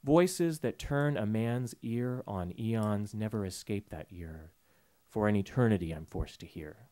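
A man reading his poem aloud from a panel table in an auditorium, with a measured, rhythmic delivery and repeated refrains. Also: lowish voice, dark tone. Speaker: poet reading his work. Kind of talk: poetry reading. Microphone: table mic on a stand.

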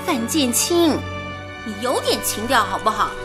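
An actress playing an affectionate female radio colleague who gently scolds her suitor in a radio drama. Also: on the high side, very animated, unhurried. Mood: fond scolding. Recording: radio drama with soft music behind.

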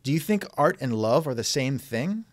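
A man's voice: medium pitch, big pitch swings, normal speed, no room sound. A man hosting a scripted podcast interview with a dry, sardonic manner, close on the microphone.

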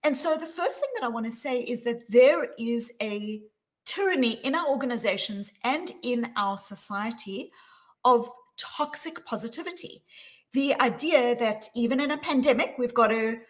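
A woman in her forties with a South African accent, speaking as a guest on a video call in a small room, measured and emphatic, slowing down to stress key words. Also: fairly high pitch. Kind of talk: talk on workplace wellbeing. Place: small room with plain wall.

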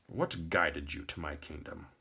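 A man voicing a shabby old king with a raspy croak and a grand, commanding manner. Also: extremely low pitch, measured pace, sharp tone, exaggerated voice. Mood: grand, solemn. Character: old blind king, shabby, imperious and self-deluded.

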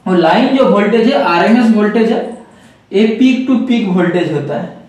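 A man's voice: high-pitched, animated, normal speed, a little mellow, very echoey, recorded from a distance, low-quality recording. A man explaining a circuit step by step to viewers, repeating key points and calling them friends. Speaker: electronics trainer. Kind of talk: whiteboard circuit lesson.

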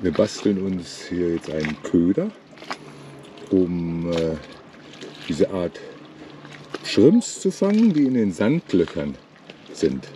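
An older man narrates a sailing trip outdoors on a lagoon, speaking casually into a camera mic. His pitch swings widely as he teases his partner. Wind and water noise sit clearly under his voice. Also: big pitch swings, slow, long pauses. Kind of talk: casual running commentary while filming.

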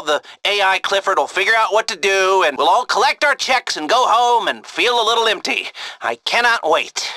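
An actor playing a cynical, nervy, wisecracking hacker and heist mastermind in a video game, talking in a chatty, sardonic way with sarcastic asides. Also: male voice, high-pitched, pushed and bright, dry recording, heavily acted. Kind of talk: video game mission briefing acting.

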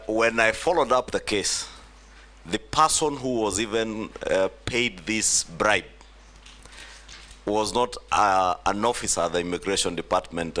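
Casting: middle-aged Kenyan male legislator, very low forceful voice, formal committee questioning at a desk mic. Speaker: member of parliament questioning a nominee. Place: large wood-panelled parliament chamber. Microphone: desk gooseneck microphone.

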